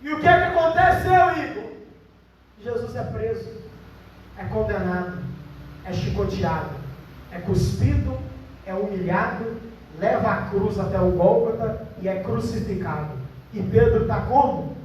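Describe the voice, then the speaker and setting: A man preaching at a prayer vigil. He retells Bible stories dramatically, with slow, emphatic pauses. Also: high-pitched, lively intonation. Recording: echoey hall, far from the mic, faint noise.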